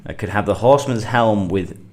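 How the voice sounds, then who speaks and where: A middle-aged man, getting over a cold and a bit sniffly, talking through a game on a livestream in a halting way, thinking aloud with lots of fillers. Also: lowish voice. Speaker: gaming livestream host. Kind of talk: casual gameplay commentary.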